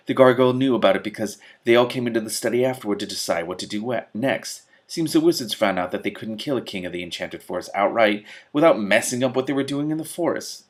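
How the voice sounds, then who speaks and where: A man voicing a vain male storybook cat, breezy, digressive and self-satisfied. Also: on the low side. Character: smug, vain male talking cat telling tales.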